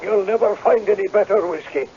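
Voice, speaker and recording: A man playing a hearty, kindly Scottish villager in a radio drama, with a creaky voice and a Scottish accent. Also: high-pitched, a little fast, warm tone, lightly acted. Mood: jovial. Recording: muffled, faintly noisy radio broadcast.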